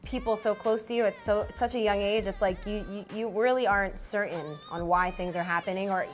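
A woman, a singer being interviewed, talking softly and hesitantly with many fillers. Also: mid-range voice, fairly even intonation, fairly quick, faint music behind. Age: 20s. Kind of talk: casual interview chat. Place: small studio with branded backdrop.

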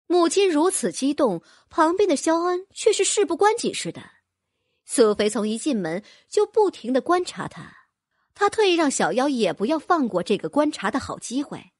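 High bright woman reading a fantasy novel, lots of pitch movement, dry studio sound. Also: sing-song, moderate pace, no room sound. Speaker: audiobook narrator. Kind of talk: scripted fantasy novel narration.

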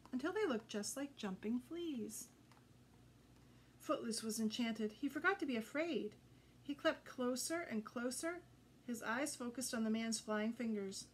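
A middle-aged woman storyteller with a fairly high voice. She reads a children's book expressively and deliberately, recorded indoors with noticeable background noise. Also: fairly bright tone. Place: indoor room with green curtain backdrop.

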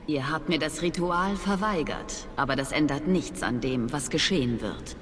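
A woman's voice: low voice, natural voice. Proud young witch voiced by a woman, aloof, formal, disdainful, video game drama, long reverb, noisy ambience. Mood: grave. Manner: measured, formal, disdainful speech.

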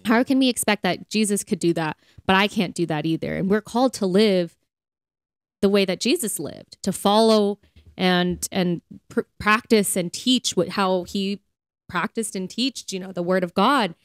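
Smooth, clear-voiced woman in podcast chat. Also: medium pitch, moderate pace, no echo, studio quality. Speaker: podcast co-host. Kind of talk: casual faith podcast chat.

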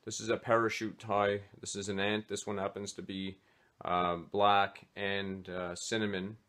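Man with a low voice, halting gear talk. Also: little pitch movement, fairly bright tone. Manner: hesitant, many "uh" fillers. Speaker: fly fishing vlogger. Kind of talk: casual gear talk to camera. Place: small home office, indoors.

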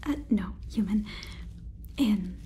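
A woman in her twenties doing a whispered ASMR role-play as a polite, curious AI robot overlord, speaking softly and haltingly close to the microphone. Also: mid-range voice, slight background noise. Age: 20s. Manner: soft, halting, deliberate whisper. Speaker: ASMR performer. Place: small room indoors, close up. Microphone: close sensitive microphone.

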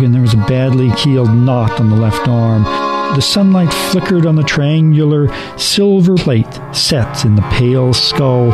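A man narrating a story dramatically for a radio play, in a deliberate, theatrical manner. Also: mid-range voice, poor audio. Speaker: narrator and radio show host.

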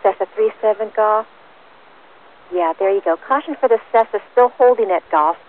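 A woman speaks as an airport tower controller, medium in pitch. Her phrasing is clipped and procedural, and it comes through a thin, phone-like aviation radio channel. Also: normal speed. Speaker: air traffic tower controller. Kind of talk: brisk radio instructions to pilots. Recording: tinny, narrowband aircraft radio transmission.

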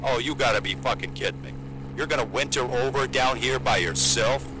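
A man plays a helicopter pilot in an audio drama. He is chatty and blunt with a medium-pitched voice, talking over a loud helicopter engine. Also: a little fast, natural voice. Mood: incredulous. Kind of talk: naturalistic audio drama acting. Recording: loud engine hum throughout.

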